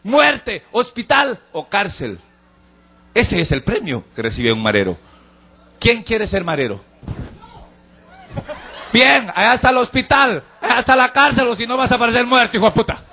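A man with a Guatemalan accent doing a crude, shouting devil doctor character in a live stand-up act on a handheld mic. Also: extremely high pitch, big pitch swings, normal speed, creaky, long pauses, heavily acted. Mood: rowdy. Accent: Guatemalan Spanish. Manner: shouting, vulgar, mocking. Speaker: stand-up comedian. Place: outdoor-style stage at a live charity show.

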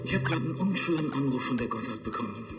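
An actor playing a pompous police chief in a TV crime comedy, officious and reproachful. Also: male voice, medium pitch, animated, quick pace, roomy sound, faint music behind, lightly acted. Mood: exasperated.

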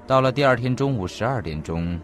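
A man acting in an audio drama as an earnest investigator, speaking in a formal, deliberate and detailed storytelling manner. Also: mid-range voice, lively intonation, natural voice. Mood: measured, grave. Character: earnest male investigator recounting a mysterious disappearance. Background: faint background music.

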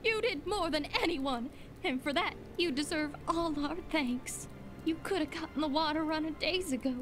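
A woman's voice: sits high, moderate pace, music in the background, lightly acted. A woman voicing a kind but firm motherly villager woman in a game, with a folksy way of talking. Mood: scolding. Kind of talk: game cutscene dialogue.

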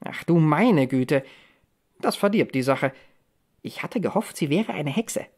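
A woman's voice: low voice, expressive, a little slow, darkish tone, heavily acted. A woman reading an audiobook in the role of a defiant, grumbling younger brother in his teens. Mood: disappointed mockery. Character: sulky, cheeky, defiant teenage boy, a younger brother. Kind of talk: audiobook character dialogue.

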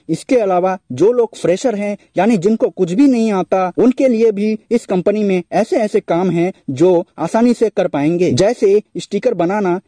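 A man with a high-pitched but very dark, mellow voice narrates a job explainer in speech, calling out to his viewers over and over. The voice-over is recorded close to the mic in a dry, dead space. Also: no echo. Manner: repeatedly addresses viewers directly. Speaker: job information channel host.

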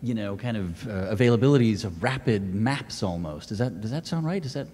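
A man on a panel jumps in with a casual, curious follow-up. His voice is somewhat husky. A long hall reverb trails his words. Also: on the low side, animated, fairly quick, darkish tone. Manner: curious, conversational. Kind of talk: panel discussion. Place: large auditorium.

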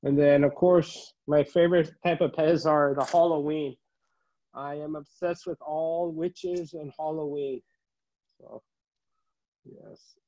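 Middle aged guy, slightly high voice, slow halting show and tell, dull phone video sound. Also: steady tone, mellow. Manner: halting, listing items one by one. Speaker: collector. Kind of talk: casual show-and-tell tour. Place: room at home, indoors. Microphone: handheld phone. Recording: dull, muffled phone audio.

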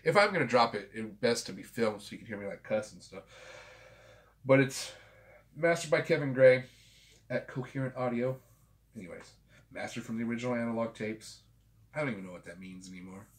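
Brief: fortyish man, casual tipsy rambler, mid-pitched everyday voice, small home room on a webcam. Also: a little fast, pauses often. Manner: loose and chatty, swears freely, tipsy. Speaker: vinyl collector channel host. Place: small home room with framed pictures and a guitar.

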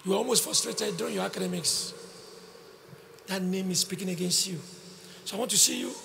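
A Nigerian man preaches in a high-set voice with heavy creak in it. He addresses one person at a time, declaring prophecies, repeating them and putting questions. Faint noise and ambience of a big open arena sit behind him. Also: brisk, sharp tone. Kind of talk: prophetic ministering to individuals in a congregation. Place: large open-sided arena with a congregation. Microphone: handheld microphone.

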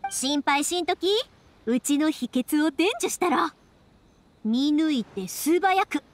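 A woman's voice: high voice, lively intonation, slow pace. A woman voicing a cheerful, confident young big-sisterly festival character in an anime game, with a Kansai accent. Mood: confident, encouraging.

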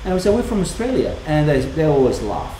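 A middle-aged man with a heavy former Yugoslav accent talks in a mellow, slightly higher voice. He tells his stories with animation, chatting casually across a table. The sound is roomy, as in a workshop picked up by a camera mic, with a faint hum behind. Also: big pitch swings, brisk. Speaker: watchmaker and co-host. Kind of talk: casual livestream chat. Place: indoor watchmaking workshop.

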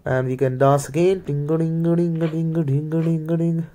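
Indian-accented man with a mellow, higher voice. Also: normal speed, faint music behind. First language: Hindi and Punjabi. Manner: mutters play-by-play, slips into Hindi. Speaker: gaming video creator. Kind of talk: casual gameplay commentary.

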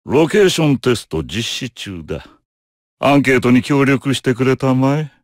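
Grand, stately older gentleman played by a man. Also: medium pitch, expressive, normal speed, no room sound, clean studio sound, natural voice. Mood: grand, composed. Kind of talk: Japanese anime fighting game acting.

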